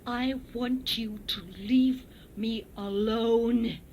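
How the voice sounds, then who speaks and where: A woman's voice: on the high side, fairly even intonation, drawn-out words, darkish tone, a little hiss or hum. A woman voicing a frightened but defiant female swimmer in peril at sea, crying out in simple, emphatic words. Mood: strained, firm.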